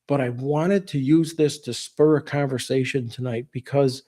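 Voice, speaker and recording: A man around sixty with a soft-edged, mellow voice talks casually as the host of a livestream. His delivery is relaxed and folksy, in plain words, and he drifts off into asides before returning to his point. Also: mid-range voice, moderate pace.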